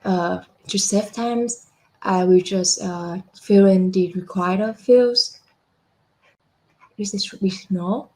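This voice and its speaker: A young adult woman walking through a software demo step by step, unhurried, with frequent fillers and self-corrections. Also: medium pitch, low-quality recording. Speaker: software product presenter. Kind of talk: screen-share software demo walkthrough.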